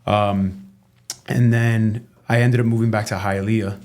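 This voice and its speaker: A man from Cuba speaking with a fairly low voice as a podcast guest. He tells his story in a loose, candid way and keeps checking in with "right?". He swears casually and without fuss. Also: a little slow. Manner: relaxed and candid, tags statements with "right?", swears freely. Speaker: chiropractor guest.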